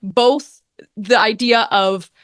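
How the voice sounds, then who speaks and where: Dry, close-sounding woman, higher voice. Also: steady tone, slow pace, dry recording. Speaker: guest, actor and game master. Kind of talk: casual interview conversation.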